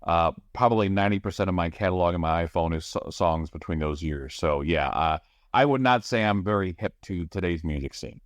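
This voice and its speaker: Middle-aged radio broadcaster guy, very low voice, dry sound, chatty and rambling. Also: fairly quick, dry recording. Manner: chatty, rambling with many restarts. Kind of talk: casual podcast interview answers.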